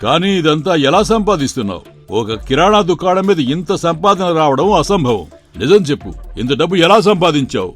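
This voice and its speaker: Man as stern honest father, storybook drama, anxious questioning, animated, music in the background. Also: on the high side, fairly quick, voice pushed up. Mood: suspicious. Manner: scolding, questioning. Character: stern, honest, worried father of grown sons.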